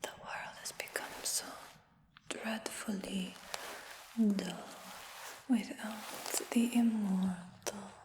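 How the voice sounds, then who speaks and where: A calm, scheming dragon hunter, as a woman puts her on for an ASMR fantasy roleplay. She speaks in a soft, unvoiced whisper at a very slow, even pace with little lift in the pitch. A long, roomy reverb hangs behind every word. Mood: softly menacing.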